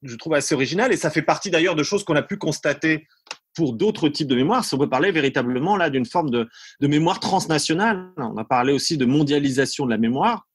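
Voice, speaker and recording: Husky man, dry sound, thinking aloud. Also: fairly high pitch, expressive, quick pace, dry recording. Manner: hesitant, repeating words, tagging phrases with "hein". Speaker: academic historian, interview guest. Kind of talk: unscripted analytical commentary.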